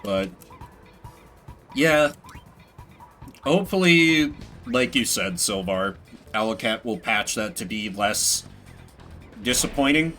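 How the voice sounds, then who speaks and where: Guy streamer rambling casually to chat, bright forceful voice, faint music behind him. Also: on the low side, slow pace, pauses often. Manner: rambling, animated retelling with acted-out quotes. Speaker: game streamer. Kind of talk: casual livestream chat with viewers.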